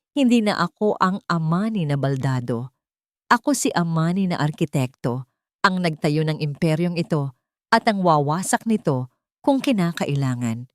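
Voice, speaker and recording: A woman's voice: lowish voice, darkish tone, no echo, studio quality. A woman narrating a scripted first-person dramatic story as an audiobook reader.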